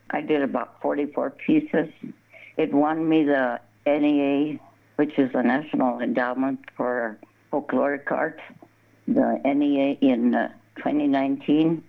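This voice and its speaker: An older woman from southern Colorado, telling stories in a casual interview. She rambles, restarts her words and laughs often. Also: very deep voice. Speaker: guest, embroidery artist. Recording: tinny phone line.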